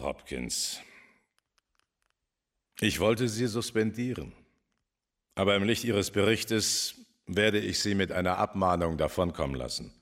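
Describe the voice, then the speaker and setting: A commanding police chief in a radio crime play. The voice is low, and he talks in curt, authoritative phrases with a stern edge. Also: a bit bright, exaggerated voice. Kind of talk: German radio crime drama acting.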